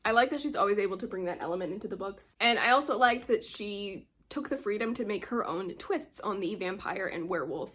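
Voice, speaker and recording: A woman with a slight cold talks through her monthly reading in a loose, rambling chat, laughing softly now and then. Her pitch sits in an ordinary middle range, and her low energy shows. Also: lively intonation, fairly quick, hardly any pauses. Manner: chatty, rambling, often laughs. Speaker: book vlogger. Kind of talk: casual book review chat. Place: home, at a desk with mugs.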